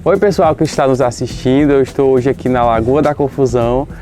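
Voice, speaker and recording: A man's voice: medium pitch, a little fast, loud music behind the voice. A man with a Brazilian accent hosting an interview, asking questions in a friendly, informal way. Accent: Brazilian Portuguese. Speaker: interviewer, channel host. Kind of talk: casual interview.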